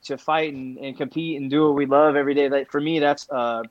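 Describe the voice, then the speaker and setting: An emphatic man in his thirties, a fight coach, talks in quick restarts and repeats his points. His voice sits slightly high. The recording is dry with almost no room sound. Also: steady tone, no echo, dull audio. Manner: restless, repeats himself, emphatic. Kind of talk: casual podcast chat.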